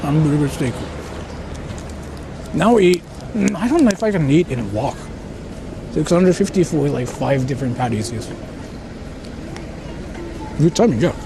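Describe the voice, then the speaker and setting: A man's voice: fairly high pitch, lively intonation, unhurried, vocal fry, long pauses. A man from Japan chatting casually on a walking livestream, explaining things in an informal, repetitive way. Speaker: live streamer. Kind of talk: casual livestream chat while walking and eating. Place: outdoors on a city street. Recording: noisy outdoor street with traffic ambience.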